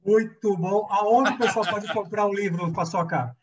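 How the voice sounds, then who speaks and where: A man's voice: sits high, fairly even intonation, normal speed. A Brazilian man hosting an online interview programme in an upbeat, informal, friendly and enthusiastic way. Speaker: host of a weekly online programme. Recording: distant, dull lo-fi sound.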